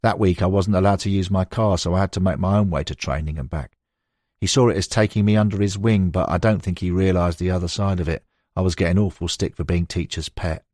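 A man's voice: low-pitched, fairly even intonation, brisk, darkish tone, no echo, clean studio sound. A man reading scripted narration of a football biography as an audiobook narrator.

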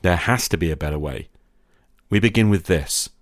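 A middle-aged man hosting a podcast, delivering a reflective scripted monologue in a measured, confessional manner. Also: low-pitched, lively intonation, no echo, clean studio sound. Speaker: podcast host.

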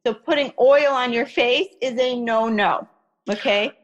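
A woman's voice: fairly high pitch, a little slow, dull audio. A woman raised in America by Iraqi parents, explaining skin care in a chatty interview and often checking in with "okay?" and "you know". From Iraqi family, raised in America. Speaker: skincare expert guest.

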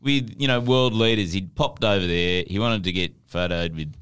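A man with an Australian accent co-hosting satirical news banter, excitable and given to mock-grand emphasis. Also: sits low, moderate pace, clear, resonant tone. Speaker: podcast co-host.

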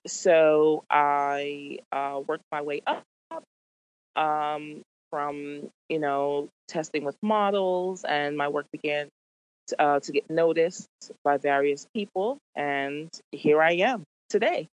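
A woman's voice: low voice, slow, poor audio. A woman from Boston, a photographer and guest, talking in a friendly way. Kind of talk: casual conversation.

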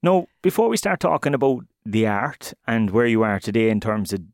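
A man's voice: fairly low pitch, big pitch swings, moderate pace, no room sound, studio quality. A man hosting a podcast, asking friendly, curious questions and backing the guest up with short replies. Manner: friendly, inquisitive, short backchannels. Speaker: podcast host. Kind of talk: podcast interview.

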